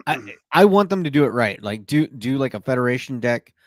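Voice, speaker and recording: A man's voice: medium pitch, expressive, a little fast. A man with a mid-range voice chats as a podcast co-host, explaining card game details with keen enthusiasm. The sound is close and dry, with no room echo. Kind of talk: casual chat.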